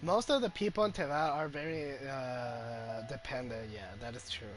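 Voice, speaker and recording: A man's voice: medium pitch, normal speed, soft background music. A man giving casual gameplay commentary as a game streamer.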